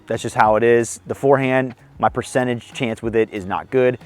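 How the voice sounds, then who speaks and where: A young man with a slightly hoarse voice hosts a casual disc golf tips vlog outdoors on a course, friendly and self-deprecating as he explains. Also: mid-range voice, steady tone, moderate pace. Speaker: disc golf video host.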